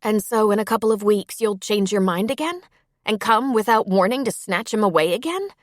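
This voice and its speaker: A woman's voice: mid-range voice, animated, fairly quick, no echo, pitched above the performer's own voice, lightly acted. Audiobook role: tender-hearted, anxious young woman, voiced by a female narrator, urgent delivery, dry close sound. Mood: accusing. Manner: worried, earnest, urgent. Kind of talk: audiobook character dialogue.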